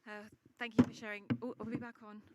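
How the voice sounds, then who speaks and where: A woman's voice: on the high side, little pitch movement, fast talker, no echo. A British woman hosting an onstage conversation at a live event, speaking hesitantly with fillers and restarts. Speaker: event host and interviewer. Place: event stage before a live audience.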